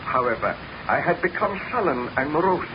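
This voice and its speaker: A muffled, noisy vintage radio recording carries a man acting as a tormented baron scientist. His voice sits fairly high, grave and theatrical, obsessed and worn out, with formal 1930s radio drama phrasing. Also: lively intonation, moderate pace, natural voice. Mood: brooding, confessional.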